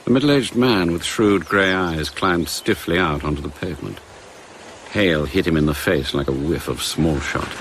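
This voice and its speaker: Male narrator, British, very low bass voice, dramatic scripted storytelling, slight background noise. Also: moderate pace, fairly bright tone.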